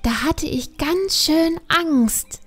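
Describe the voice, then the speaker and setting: Young panda girl, played by a woman: plucky, easily offended, dramatic storyteller, measured pace, music underneath. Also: high-pitched, animated, heavily acted. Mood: insistent, sulky. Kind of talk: audiobook character acting.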